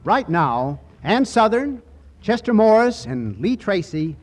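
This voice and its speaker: Animated high-voiced radio host. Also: male voice, slow pace. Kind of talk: 1940s radio hosting. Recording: old radio broadcast, faint audience.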